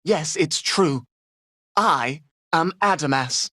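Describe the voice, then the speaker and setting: A man playing a polite, flustered young gentleman in a game, well-spoken and excitable. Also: a little high, slow pace. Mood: flustered, resigned. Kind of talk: scripted game voice acting.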